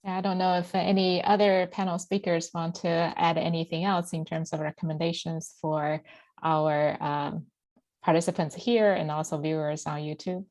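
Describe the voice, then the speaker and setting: Low-voiced woman moderating, hesitant. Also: flat delivery, normal speed, darkish tone, dry recording. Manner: hesitant, many fillers. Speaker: panel moderator. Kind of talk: closing remarks of an online panel.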